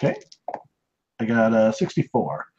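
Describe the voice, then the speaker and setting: A man's voice: on the low side, very animated, moderate pace, a little mellow, highs cut off, slight background noise. A man playing in an online tabletop game, hesitant and thinking aloud as he plans his move. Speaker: player. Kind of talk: casual tabletop game play.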